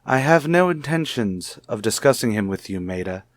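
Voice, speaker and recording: A man's voice: mid-range voice, animated, moderate pace, dry recording, studio quality, close to an everyday voice. A man playing a suspicious husband in an old-time radio soap, speaking with formal, pointed politeness. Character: suspicious, coolly courteous husband, probing his wife.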